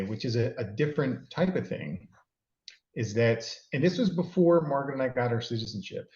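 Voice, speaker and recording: A man's voice: mid-range voice, darkish tone. Middle-aged man, echoey muffled sound. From California, USA. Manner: rambling, self-deprecating, with frequent restarts. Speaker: interview guest. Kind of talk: casual reflective advice.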